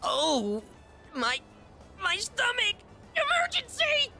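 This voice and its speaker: Gluttonous, pompous high-school boy for a game; high, bombastic, very animated delivery. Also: takes it slowly, gravelly, soft background music, full character voice. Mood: showy. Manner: bombastic, dramatic swings between squeals and low asides. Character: gluttonous, pompous, theatrical male high-school student, self-styled gourmet. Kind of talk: video game voice acting.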